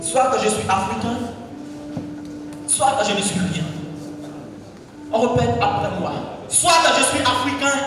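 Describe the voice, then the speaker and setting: A man with a very high voice performs slam poetry at a cultural event. He declaims in hammering, repeated lines and calls on the crowd to chant them back. He sounds distant from the mic, in a big hall with a long, booming echo and music underneath. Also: unhurried, long pauses, background noise. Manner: declamatory, repetitive, leads the crowd in call-and-response. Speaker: slam poet. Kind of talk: spoken-word poetry performance. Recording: echoey hall, mic far off, music behind.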